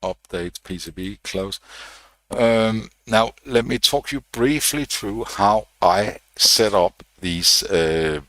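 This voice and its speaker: Slow low danish guy walking you through pcb stuff, lots of uhs, dry close mic. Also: dry recording. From Denmark. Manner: relaxed, unhurried, many fillers and restarts. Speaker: electronics channel host. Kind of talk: live-streamed PCB design tutorial.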